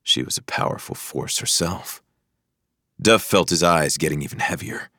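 Low-pitched man narrating an audiobook thriller. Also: moderate pace, pushed and bright, no echo, studio quality.

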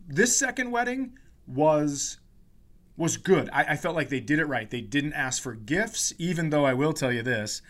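A man's voice: mid-range voice, a bit bright. A man who hosts a podcast as a comedian, telling stories casually with swearing, mock indignation and quoted dialogue.

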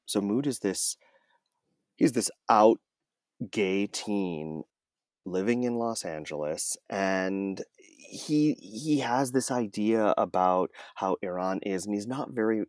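A man's voice: lowish voice, expressive, slow, no echo, studio quality. A man speaking as an interview guest, an audiobook narrator talking conversationally and enthusiastically, stretching words for emphasis.

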